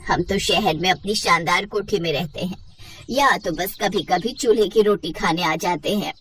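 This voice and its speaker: A woman's voice: fairly low pitch, moderate pace, recorded from a distance, slight background noise, voice pushed down, close to an everyday voice. A woman voicing a middle-aged village mother-in-law who is kindly, commanding and affectionately scolding. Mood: proud, teasing.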